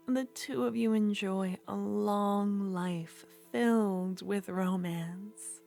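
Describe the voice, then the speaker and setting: A woman narrating the close of an ASMR story in a low, calm, unhurried voice, partly whispered.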